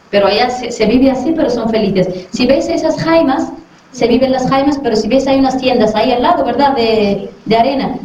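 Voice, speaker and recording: A woman's voice: fairly high pitch, mellow, slight background noise. Echoey, distant middle-aged woman talking to children. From Western Sahara. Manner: friendly, asks the children questions to check they follow. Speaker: dentist visiting a school class. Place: classroom. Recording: very echoey, far from the mic, dull lo-fi sound.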